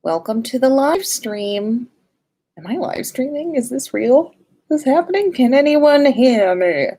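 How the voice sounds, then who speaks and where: Slow-paced, playful woman livestreamer. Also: high-pitched, big pitch swings, mellow, slightly rough. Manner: playful, sing-song at times; informal, swears casually. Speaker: livestream host. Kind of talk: casual livestream chat.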